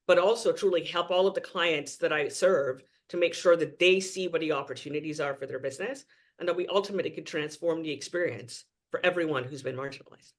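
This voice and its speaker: A man's voice: fairly high pitch, brisk. A man from Trinidad and Tobago, a guest speaking earnestly and with emphasis in a podcast interview.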